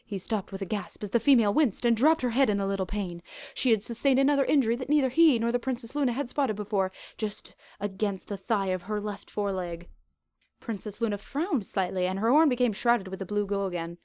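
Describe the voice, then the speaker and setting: A woman reading fan fiction aloud as an expressive story narrator. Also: medium pitch, brisk, dry recording.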